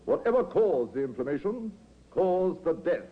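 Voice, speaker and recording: Unyielding, formal physician; husky, very varied intonation, tinny vintage radio sound. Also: male voice, on the high side, big pitch swings, normal speed. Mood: firm, offended. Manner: formal, precise, firm. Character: stiff, principled, unyielding male doctor. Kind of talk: 1950s radio acting. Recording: thin, tinny old radio recording.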